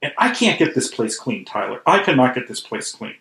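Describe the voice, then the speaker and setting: A man's voice: mid-range voice, very animated, fairly quick, fairly bright tone. A man playing a despondent, earnest school cleaner in improvised comedy, plaintive and repeating himself. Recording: roomy, echoing sound.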